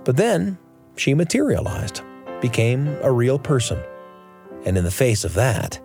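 A man hosting a radio show, telling stories in a reflective, scripted narration with deliberate phrasing. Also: mid-range voice, very animated, a little mellow, soft background music. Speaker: radio show host and storyteller. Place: radio studio.

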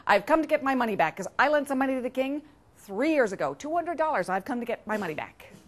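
Woman voicing a high, loud, plucky quacking duck. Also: big pitch swings, quick pace. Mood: bold. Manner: exclamatory, repetitive quacking and chanting. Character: plucky duck, insistent, quacking, panics easily.

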